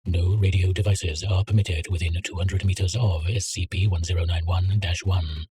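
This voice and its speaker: A man reading a containment document aloud in a measured, clinical way. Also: deep voice, fairly even intonation, mellow, husky. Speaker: narrator. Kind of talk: scripted reading of a containment file.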